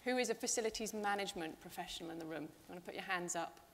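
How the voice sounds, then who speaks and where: A woman's voice: fairly low pitch, very fast, creaky, a little hiss or hum. A middle-aged woman speaking on a conference panel, upbeat and gesturing as she talks. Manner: upbeat, gestures while talking. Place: large event hall stage. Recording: reverberant hall.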